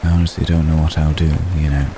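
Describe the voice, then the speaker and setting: A man playing a centuries-old vampire gentleman in an intimate ASMR roleplay, tender, protective and world-weary, speaking formally and gently with long pauses. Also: rumbling low. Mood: tender, confessional. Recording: steady rain noise behind voice. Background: rain falling.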